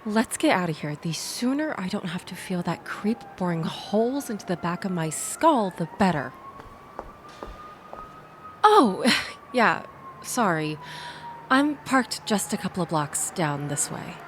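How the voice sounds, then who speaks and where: A woman playing a shaken, chatty young woman in an intimate audio roleplay, rambling with wry asides and breathy sighs as she confides in the listener. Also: lowish voice, big pitch swings, unhurried, slight background noise. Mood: rattled, wry. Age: young adult. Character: shaken, chatty young woman escaping a bad date, self-deprecating and grateful.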